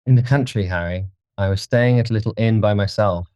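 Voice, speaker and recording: A man voicing an anxious, imperious young gentleman with an intense manner, for an audiobook. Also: fairly low pitch, brisk, no echo, lightly acted. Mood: earnest. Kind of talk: audiobook character voice.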